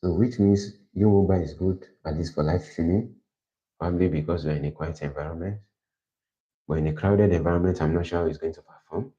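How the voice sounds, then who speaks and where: A man with a deep voice hosting a livestream, thinking aloud in a casual, rambling way and correcting himself as he goes. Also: brisk. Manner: rambling, self-correcting, plans out loud. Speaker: livestream host. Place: quiet indoor room. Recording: dull lo-fi livestream audio.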